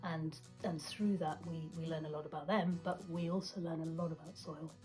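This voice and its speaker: A woman with a slightly low voice talks reflectively as a writer about her work. Quiet music runs behind her. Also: fairly even intonation, normal speed. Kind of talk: reflective interview talk.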